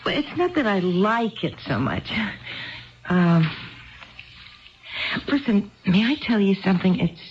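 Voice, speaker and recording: Actress as confused, lonely barfly wife: rambling, unsure, needy for approval. Vintage radio drama, dull limited-band sound. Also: female voice, mid-range voice, lively intonation, a little slow, pauses often, close to an everyday voice. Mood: confiding, hesitant. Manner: hesitant, rambling, seeking reassurance. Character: confused, chatty, insecure wife drinking alone in a bar. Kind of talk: 1970s radio drama acting. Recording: band-limited 1970s radio broadcast, faint music.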